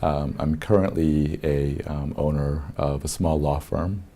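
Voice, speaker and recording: A man in his fifties from South Korea, an attorney, telling his life story in a halting, reflective way with many pauses and soft chuckles. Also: a bass voice, fairly even intonation. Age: 50s. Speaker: attorney interviewee.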